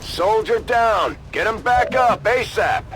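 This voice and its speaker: High-pitched, urgent military officer. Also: male voice, expressive, takes it slowly, heavy background noise. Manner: urgent, businesslike briefing delivery. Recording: music under the voice.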